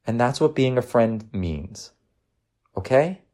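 A man voicing a gentle, teasing working mother in a storybook reading, coaxing and reassuring. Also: a little high, wildly swooping pitch, normal speed, voice pushed up, exaggerated voice.

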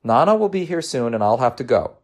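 Male performer as loving mother, coaxing, reassuring, raised pitch, highly animated, storybook character. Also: a little fast, lightly acted. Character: gentle, teasing, encouraging working mother.

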